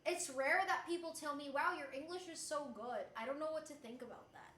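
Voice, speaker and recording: A woman's voice: high-pitched, a little fast, echoey room, slight background noise. A woman with a slight, Americanized Puerto Rican accent and Spanish as her first language, chatting casually and playfully as a livestreamer. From Puerto Rico. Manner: playful, expressive streamer delivery, switches into Spanish. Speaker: livestreamer reading and answering chat.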